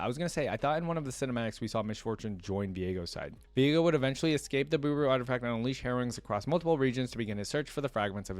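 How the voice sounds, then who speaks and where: A man's voice: mid-range voice, no echo. A man hosting a reaction video, reading text aloud and adding casual off-the-cuff commentary.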